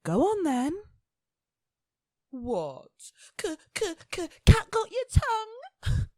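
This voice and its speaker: A woman's voice: extremely high pitch, wildly swooping pitch, slow, warm tone, no echo, heavily acted. Woman playing a haughty, dry-sounding immortal, swooping delivery. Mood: scornful. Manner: scornful, sardonic, sweary. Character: haughty, impatient female immortal entity of death and war. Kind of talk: radio play acting.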